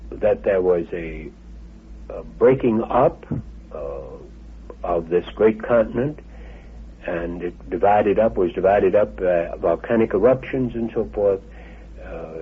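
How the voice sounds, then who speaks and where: Male interviewee, deep-set and heavily husky, halting and explanatory, thin old-radio sound. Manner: halting, deliberate, explanatory phrasing. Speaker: interviewee recounting his father's teachings. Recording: muffled, band-limited old radio recording.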